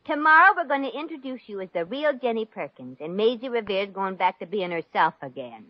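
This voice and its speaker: A woman's voice: mid-range voice, animated, fairly quick, natural voice. An actress playing a brassy, kind-hearted, wisecracking showgirl in the style of 1950s radio comedy, with wry, slangy delivery. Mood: candid. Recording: dull vintage radio recording, faint music.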